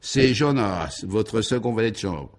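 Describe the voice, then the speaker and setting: A man's voice: fairly low pitch, lively intonation, measured pace. An obsequious valet played by a man in a comic radio serial. He is deferential to a fault and winds through long, circling, polite phrases. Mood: polite, hesitant. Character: obsequious, wordy hotel valet, a male servant.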